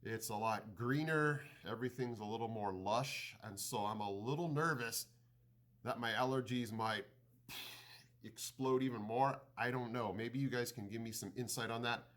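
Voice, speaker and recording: A man with a stuffed-up, nasal voice talks to his viewers at a middling pitch. He muses out loud, hesitates, and asks them for advice. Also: normal speed. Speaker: vlogger. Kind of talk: casual chat to camera.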